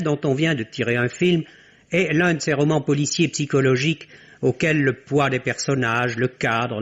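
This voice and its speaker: A man delivering a scripted film review in formal, literary phrasing, as a radio critic. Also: fairly high pitch. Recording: dull, lo-fi vintage French radio.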